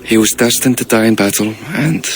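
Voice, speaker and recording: A man's voice: lowish voice, fairly quick, vocal fry, slight background noise. An actor playing a middle-aged Viking-age king and stern, worried father in a video game. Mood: pensive, fond. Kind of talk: dramatic video game cutscene acting.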